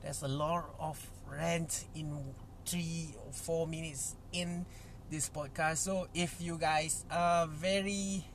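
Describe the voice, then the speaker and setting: A young Malaysian man, a native Malay speaker, hosting a casual solo podcast in English, halting with many fillers and restarts. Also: on the high side, a bit bright, a little hiss or hum. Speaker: podcast host.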